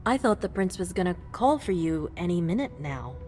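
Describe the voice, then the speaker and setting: Guarded vampire woman, slightly low pitch, cool, probing, video game drama, clear music behind. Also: very animated, normal speed, darkish tone. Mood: guarded, deferential.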